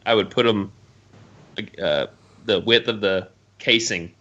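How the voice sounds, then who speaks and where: Bright-voiced man chatting casually, slightly muffled audio. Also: lowish voice, takes it slowly. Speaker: podcast co-host. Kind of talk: casual chat.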